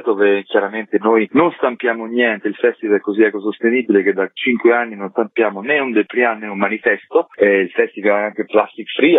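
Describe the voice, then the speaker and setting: A man's voice: fairly low pitch, husky, no echo. A man answering radio interview questions spontaneously as a festival director. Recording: tinny narrow phone-like line.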